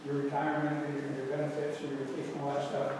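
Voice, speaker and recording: Audience member, probing questioner, slightly high and flat, distant in a roomy hall. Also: male voice, quick pace. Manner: probing, presses for clarification. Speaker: resident asking questions. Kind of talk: questions at a public meeting. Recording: echoey hall, distant mic, background noise.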